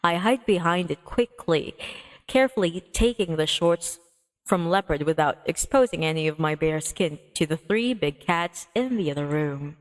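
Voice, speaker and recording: A woman's voice: on the low side, animated, moderate pace, low-quality recording. A woman streamer narrating a visual novel aloud in a dramatic read-aloud style. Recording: music playing behind the voice. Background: music.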